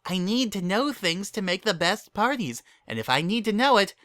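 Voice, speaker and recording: A man's voice: high voice, very animated, normal speed, pushed and bright, dry recording, studio quality, voice pushed up, full character voice. A man voicing a bubbly, hyperactive young female cartoon pony party planner, gushing and zealous as she chatters. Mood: insistent.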